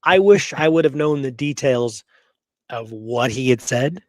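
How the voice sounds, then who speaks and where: A man with a mid-pitched voice in a close, dry recording, talking as a podcast host. He chats casually about history, musing aloud, asking rhetorical questions and repeating himself as he makes his opinions known. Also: expressive, a little slow, no room sound.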